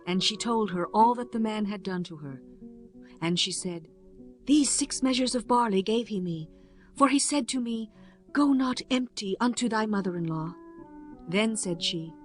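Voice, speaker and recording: A woman narrating a scripture audiobook in a measured, formal way. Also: mid-range voice, soft background music.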